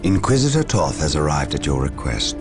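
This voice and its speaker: Dutiful sci-fi soldier in a game; extremely deep, composed, formal voice with music behind. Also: male voice, very animated, brisk. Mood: grave, reporting. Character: composed, dutiful male space marine officer, grave adviser. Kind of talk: dramatic video game voice acting. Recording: music under the voice.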